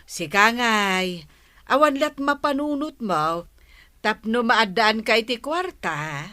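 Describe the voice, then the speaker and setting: Nagging village wife, dry studio sound. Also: female voice, on the high side, animated, slow, bright, forceful tone, no echo, heavily acted. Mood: nagging, exasperated. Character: nagging, exasperated village wife worried about money. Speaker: radio drama actress. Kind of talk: Ilocano radio drama acting.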